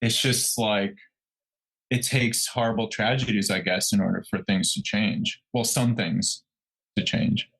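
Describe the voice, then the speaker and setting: A man with a lowish voice and noticeable vocal fry speaks as a podcast co-host. He sounds conversational and tentative, often stopping and restarting while he searches for the right word. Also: fairly even intonation, a bit bright, low-quality recording. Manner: halting, tentative, searching for words. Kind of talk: casual true crime discussion.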